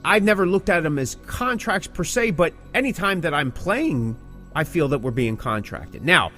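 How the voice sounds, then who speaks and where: A man's voice: fairly high pitch, lively intonation, normal speed, bright, forceful tone, soft background music. A man co-hosting a podcast, explaining things in a casual, emphatic, conversational way. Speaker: podcast co-host and working band musician.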